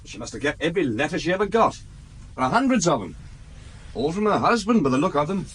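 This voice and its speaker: An earnest young police inspector. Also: male voice, a little high, sing-song, fast, a bit bright, slight background noise, rough recording. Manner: respectful, earnest, answers briefly. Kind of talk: radio drama acting.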